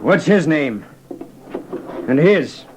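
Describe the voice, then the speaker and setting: A western gang henchman in a vintage radio play. He sounds suspicious and impatient, with a highish voice and unhurried pace. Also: sing-song, background noise, heavily acted. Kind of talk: old-time radio drama acting.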